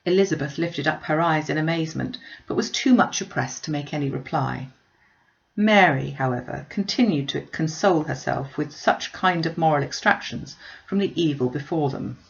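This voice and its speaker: Low, creaky-voiced woman reading a novel. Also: normal speed, muffled recording. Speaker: audiobook reader. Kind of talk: reading a classic novel aloud.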